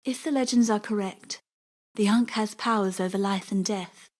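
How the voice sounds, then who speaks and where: Fantasy game NPC: proud, world-weary exiled woman played by an actress, dark mellow voice, wry, grieving undertone, dry studio sound. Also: medium pitch, normal speed, dry recording, natural voice. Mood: pleading. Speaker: non-player character in a fantasy action game. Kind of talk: scripted dramatic game dialogue.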